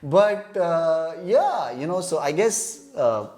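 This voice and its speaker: A man's voice: on the high side, sing-song, takes it slowly, darkish tone. A man, a guest telling rambling jokes in a casual chat and laughing often. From India. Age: 30s. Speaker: podcast guest.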